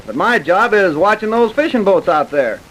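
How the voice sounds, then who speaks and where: A man playing a keen, folksy Coast Guard man in an old-time radio drama, brisk and colloquial. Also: sits high, sing-song, fairly bright tone, rough recording. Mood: brisk, curious. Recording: hissy vintage radio recording.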